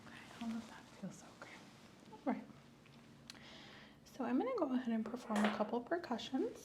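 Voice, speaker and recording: A woman doing a soft, slow ASMR medical exam roleplay, giving gentle clinical instructions, often in a whisper, close to the microphone. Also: fairly high pitch, sing-song, noisy background. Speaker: ASMR host playing a clinical examiner.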